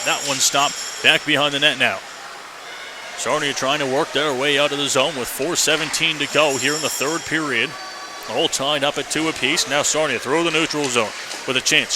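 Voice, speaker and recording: A man doing live radio play-by-play commentary of a hockey game in clipped running phrases. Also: on the high side. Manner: clipped running phrases, excited shouts on big plays. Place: indoor ice hockey arena. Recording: noisy live arena broadcast.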